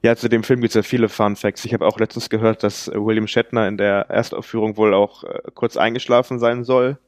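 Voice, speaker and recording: A man hosting a podcast, asking questions in a relaxed, loose way with fillers. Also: lowish voice, fairly quick. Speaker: podcast host. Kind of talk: casual interview.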